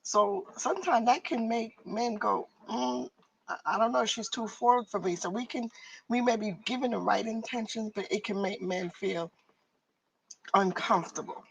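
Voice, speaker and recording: A middle-aged woman on a church discussion panel, speaking in a measured, reflective way. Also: on the high side, gravelly. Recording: distant mic, faint background noise.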